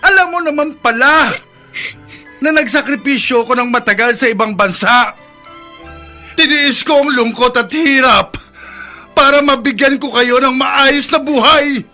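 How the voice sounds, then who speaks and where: A man's voice: very high voice, unhurried, faint music behind, exaggerated voice. A man playing an embittered, hot-tempered father of two who scolds and complains in a Filipino radio drama. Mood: bitter, wounded. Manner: scolding, loud, aggrieved.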